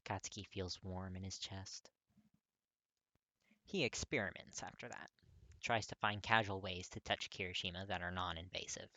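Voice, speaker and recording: Male story narrator, lowish pitch, bright forceful tone, dry close recording, expressive scripted reading. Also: no room sound. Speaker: audiobook-style fan fiction reader.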